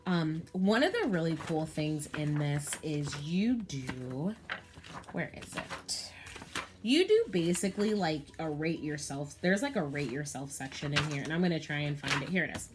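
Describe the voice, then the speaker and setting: A woman's voice: low-pitched, lively intonation, normal speed, background noise. A woman in her thirties chatting candidly to camera about planning, with frequent ums and you-knows. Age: 30s. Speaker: planner vlogger. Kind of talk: casual chat to camera.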